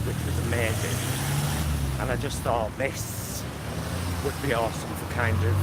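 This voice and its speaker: A man chatting casually to his phone on a selfie stick while walking through his neighbourhood, rambling with lots of fillers. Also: medium pitch. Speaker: vlogger. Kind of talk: casual walking vlog chat. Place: outdoors on a street in his neighbourhood. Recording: phone recording with street noise. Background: traffic and street noise.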